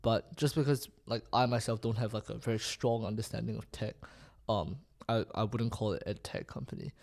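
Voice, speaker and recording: A man's voice: on the low side, fairly even intonation, fairly quick, gravelly, a little mellow. A man in his twenties from Singapore, chatting casually as a podcast guest and thinking aloud with lots of fillers. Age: 20s. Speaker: guest, student entrepreneur. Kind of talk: casual interview chat.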